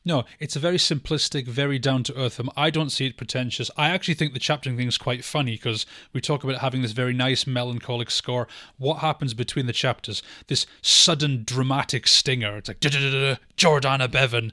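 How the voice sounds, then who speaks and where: A man's voice: mid-range voice, steady tone, brisk, hardly any pauses, pushed and bright, dry recording, clean studio sound. A man co-hosting a film podcast, speaking in a casual, conversational discussion style.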